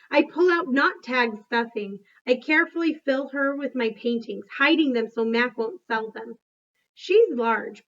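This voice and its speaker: Female read-aloud storyteller, higher pitch, expressive delivery, somewhat dull lo-fi capture. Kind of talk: expressive storybook reading with brief asides.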